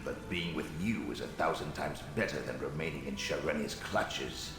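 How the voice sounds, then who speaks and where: An actor playing a haughty, sardonic dead wizard's spirit in a video game, speaking in an imperious, theatrical way. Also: male voice, extremely low pitch, moderate pace. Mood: smug. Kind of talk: scripted video game acting. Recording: echoing cavernous reverb, faint noise.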